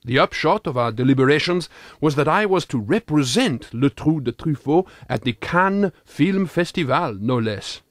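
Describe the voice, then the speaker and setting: A man's voice: a little high, animated, moderate pace, dry recording, clean studio sound, lightly acted. A man voicing an earnest, pompous thirty-eight-year-old Frenchman with a French accent, reading a comic audiobook diary in formal, quaintly wordy phrases. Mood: earnest, self-important.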